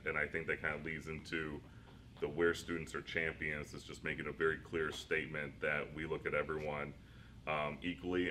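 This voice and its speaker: Casting: middle-aged male administrator, very low voice, loose rambling answers, light hiss behind. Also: pushed and bright. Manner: rambling, self-deprecating, laughs often. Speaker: school district superintendent. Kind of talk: interview answers.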